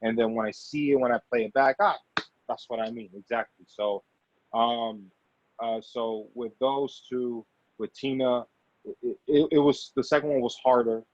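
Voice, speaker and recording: A man's voice: medium pitch, steady tone, normal speed, darkish tone. A man talking casually as an interview guest, rambling and often repeating his phrases as he explains himself. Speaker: guest actor and filmmaker. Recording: dull lo-fi audio.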